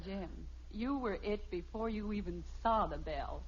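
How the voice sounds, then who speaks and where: An American actress playing a brisk, self-assured, teasing wife and mother in an old radio family comedy. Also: medium pitch, natural voice. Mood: sweetly teasing. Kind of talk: mid-century radio sitcom acting. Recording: muffled, noisy old radio recording.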